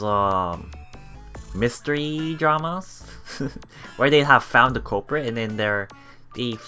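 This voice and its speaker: Giggly, very low-pitched woman reading games. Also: a bit bright. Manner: giggly, frequent laughing asides while reading aloud. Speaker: let's play streamer narrating a visual novel. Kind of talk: live reading and reacting to a game, casual. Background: game music.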